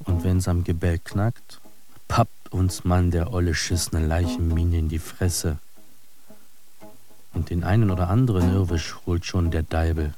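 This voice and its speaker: An actor playing an embittered, disillusioned fighter in a radio drama monologue, declaiming and cursing in coarse words. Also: male voice, low-pitched, fairly even intonation, measured pace, mellow, faint music behind. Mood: bitter, cursing.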